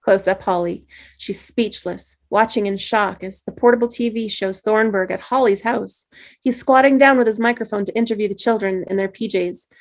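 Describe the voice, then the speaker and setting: A woman reading the stage directions at a script table read, steady with small slips. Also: medium pitch, normal speed.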